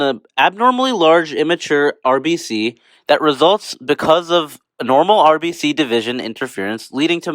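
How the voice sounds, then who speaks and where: An actor plays a cocky male vitamin character in an educational skit. He is sarcastic and self-satisfied, with a casual delivery. His pitch leaps around a lot, and the sound is dry, with no room to it. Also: dry recording, natural voice. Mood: explaining smugly.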